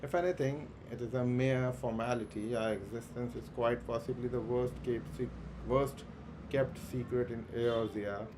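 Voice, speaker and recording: A man streaming a game, reading the on-screen dialogue aloud slowly and plainly, with stumbles and restarts. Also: mid-range voice, steady tone, background noise.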